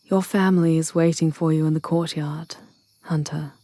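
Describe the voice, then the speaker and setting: Woman doing a witch for fantasy asmr rp, low pitched, solemn n measured, dry sound. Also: fairly even intonation, warm tone, no echo, natural voice. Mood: solemn, relieved. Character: solemn, authoritative witch, ally of hunters. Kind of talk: scripted ASMR fantasy roleplay.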